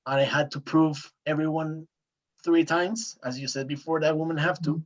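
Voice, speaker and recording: A man's voice: a little high, fairly even intonation, normal speed, muffled recording. A man from Colombia whose first language is Spanish, speaking with a Colombian accent as a podcast guest, earnest and searching for words with many "eh" fillers. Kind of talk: casual interview talk.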